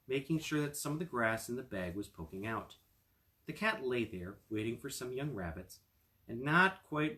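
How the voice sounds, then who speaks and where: A man reads a children's story aloud in a very animated way. His pitch moves up and down freely around a medium register, and he slips easily between narration and casual asides. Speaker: storyteller, attorney.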